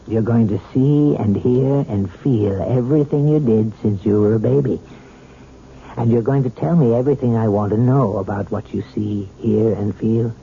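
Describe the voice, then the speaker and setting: Measured hypnotist doctor, tinny old radio. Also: male voice, lowish voice. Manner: measured, reassuring, commanding. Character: calm, authoritative male hypnotist doctor. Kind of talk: 1970s radio drama acting. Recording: thin, faintly noisy old radio broadcast.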